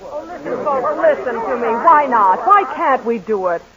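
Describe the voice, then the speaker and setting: A woman's voice: sits high, lively intonation, normal speed, muffled recording, crowd noise. An actress playing a spirited young woman who runs a town newspaper, in a 1940s radio play. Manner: earnest, rousing, pleading.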